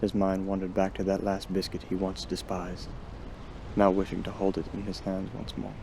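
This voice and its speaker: A man's voice: deep voice, completely flat delivery, normal speed, gravelly, noisy background. A man giving a dramatic scripted narration of a horror story in an ominous, theatrical manner. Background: music under the voice.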